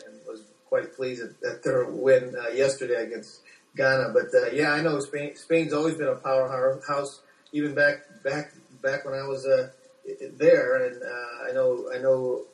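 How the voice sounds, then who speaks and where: An American man gives informal interview answers in a medium-pitched voice. He is hesitant and often doubles back on his words. He sounds set back from the microphone in a clearly echoey room. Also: recorded from a distance. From United States. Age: 40s. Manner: halting, hesitant, repeats words. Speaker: doctor being interviewed.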